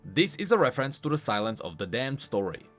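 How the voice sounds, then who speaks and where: Guy doing animated game lore explainer, voice goes up and down a lot, bit of music under it. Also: mid-range voice, a little fast. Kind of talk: scripted explanatory commentary.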